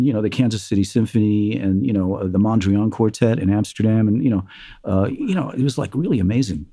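A man being interviewed about his life as a musician, telling lively stories and slipping into the voices of the people he quotes. His tone is dark and mellow. The sound is dry and close. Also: mid-range voice, no echo. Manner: animated storyteller, acts out quotes, many fillers. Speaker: guest, musician. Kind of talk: conversational interview storytelling.

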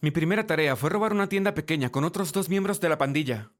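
A man's voice: a little high, quick pace. A man reading a scripted first-person story as narrator.

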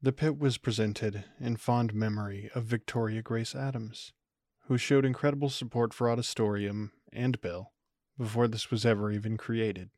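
A man's voice: lowish voice, fairly even intonation, moderate pace, darkish tone, no echo, studio quality. A man reading scripted podcast credits as an announcer. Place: close, dry recording.

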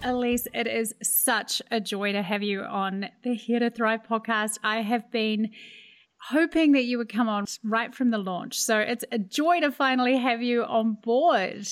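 A woman's voice: a little high, a little slow, dry recording, clean studio sound. Upbeat New Zealand woman hosting a podcast. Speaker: podcast host. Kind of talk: scripted podcast intro and interview.

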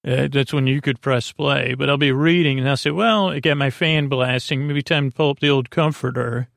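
A man with a creaky voice hosting a bedtime podcast, rambling hesitantly and often losing his thread. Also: medium pitch, steady tone, moderate pace, fairly bright tone, no echo, clean studio sound. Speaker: podcast host.

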